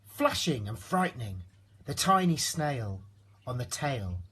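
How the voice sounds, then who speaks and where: A man reading a rhyming children's storybook aloud in an expressive narrating style. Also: mid-range voice, slow pace, slightly rough. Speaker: storybook reader.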